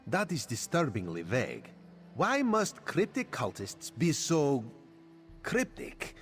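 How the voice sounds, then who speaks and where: Sly catfolk spy for a fantasy game: theatrical, wry, very lively intonation. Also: male voice, mid-range voice, slow, faint music behind, exaggerated voice. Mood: exasperated. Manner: speaks of self in third person, theatrical. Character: sly, wry catlike Khajiit agent, adult male. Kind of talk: video game character dialogue.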